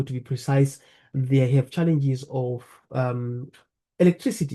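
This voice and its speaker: A man from Zimbabwe presenting a talk over slides, earnest, repeating phrases and pausing with fillers. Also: fairly high pitch, warm tone. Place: lives in the UK.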